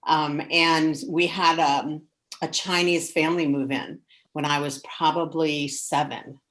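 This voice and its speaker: Mature American woman, slightly low voice. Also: fairly even intonation, measured pace, a bit bright. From United States. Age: middle-aged or older. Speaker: discussion participant. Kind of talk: casual personal storytelling. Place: indoors, on a video call. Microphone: video call microphone.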